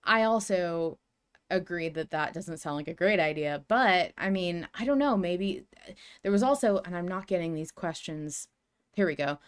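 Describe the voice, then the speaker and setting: A woman's voice: fairly low pitch, lively intonation, moderate pace, smooth. A woman co-hosting a podcast, reasoning and speculating aloud in a casual discussion. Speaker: podcast co-host.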